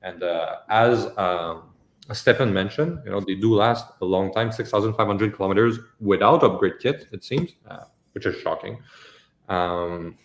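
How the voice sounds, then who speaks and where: Low-voiced French-accented man, echoey room. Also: moderate pace. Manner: informal, explains step by step. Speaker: company host answering viewer questions. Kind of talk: casual live Q&A, technical explanation.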